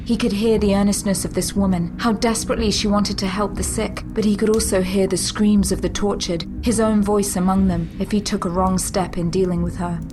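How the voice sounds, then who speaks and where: A female narrator tells an audio drama story in measured phrases. Her voice is medium in pitch, with music clearly playing underneath. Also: fairly even intonation, poor audio.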